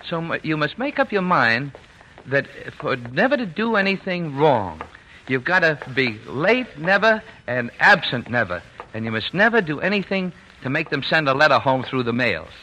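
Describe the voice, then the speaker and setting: Gentle dreamer papa, folksy and hesitant, lively bright delivery, old noisy radio drama. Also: male voice, fairly high pitch, normal speed. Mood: earnest, tender. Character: gentle, indulgent working-class papa, quietly scheming dreamer. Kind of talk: 1940s radio drama acting. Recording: noisy vintage 1940s radio recording.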